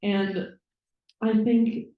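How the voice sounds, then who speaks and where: A woman's voice: mid-range voice, flat delivery, drawn-out words. A young woman from Minnesota chatting casually on a panel. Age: young adult. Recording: echoey room, dull sound.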